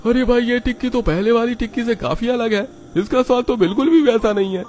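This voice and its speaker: Man putting on a high-pitched, indignant cartoon customer. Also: brisk, darkish tone, a little hiss or hum. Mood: doubtful, complaining. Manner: exclamatory, indignant. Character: excitable disgruntled male street-food customer, loud and complaining.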